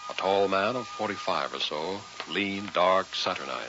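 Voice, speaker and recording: An actor playing an uneasy minister in a vintage radio horror drama, speaking in a deliberate, brooding, hesitant way. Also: male voice, sits low, lightly acted. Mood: haunted, tense. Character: uneasy ordained minister narrating his own ghost story. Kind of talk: vintage radio horror drama, first-person narration and dialogue. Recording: dull vintage radio recording with music.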